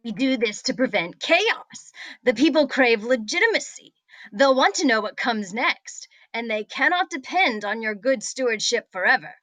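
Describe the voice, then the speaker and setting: A woman reads an earnest male peasant delegate in a script reading. The voice is high and bright, with formal, wordy phrasing. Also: animated, moderate pace, dry recording. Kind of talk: comedic screenplay table read.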